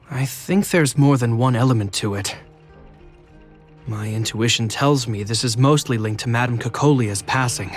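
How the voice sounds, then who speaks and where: An actor playing an earnest, protective younger brother, a reserved military officer, speaking in a measured, formal way in a video game. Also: male voice, mid-range voice, close to an everyday voice. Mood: concerned, pensive. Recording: music under the voice.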